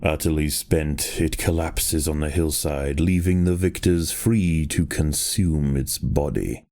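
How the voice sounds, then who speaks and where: Deep, mellow male documentary narrator. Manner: measured, solemn, dramatic delivery. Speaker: documentary-style game narrator. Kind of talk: scripted nature documentary narration.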